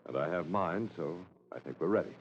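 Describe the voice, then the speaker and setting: Actor as a low-voiced, brusque expedition leader. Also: male voice, fairly quick, lightly acted. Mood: brisk. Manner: curt, dismissive. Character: brusque, impatient husband leading an expedition. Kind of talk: 1950s radio drama acting. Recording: muffled, noisy old radio recording.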